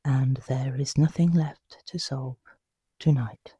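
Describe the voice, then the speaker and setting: A meditation guide speaks very slowly in a mellow, breathy hush. The delivery is soothing and unhurried, with long pauses between phrases. The sound is dry and close, made for guiding someone to sleep. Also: medium pitch, fairly even intonation, dry recording, highs cut off.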